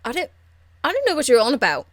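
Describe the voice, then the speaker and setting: Scrappy young woman rebel for a fantasy game voiced by a woman: high, blunt, cheeky, excitable, crude street slang. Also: fast talker, no room sound. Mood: needling. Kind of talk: video game character acting.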